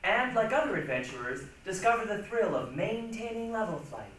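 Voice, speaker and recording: A man's voice: high voice, big pitch swings, a little fast, a bit bright. A man performing a dramatic stage reading with an emphatic, theatrical delivery. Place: large echoey room, recorded from a distance. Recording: echoey hall, distant mic, faint noise.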